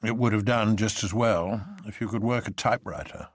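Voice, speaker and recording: A man voicing a gloomy professor from a storybook, who speaks slowly and sadly as a paralytic old man would, though merry inside. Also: lowish voice, dry recording, studio quality, close to an everyday voice. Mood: grave. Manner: slow, sad speech belying boyish merriment. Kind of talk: dramatised audiobook storytelling.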